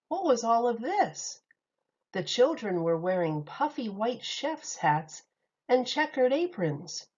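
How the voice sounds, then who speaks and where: Slow, expressive woman reading a storybook. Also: on the low side. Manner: expressive, lively read-aloud. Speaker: teacher. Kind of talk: reading a children's picture book aloud. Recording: slightly muffled recording.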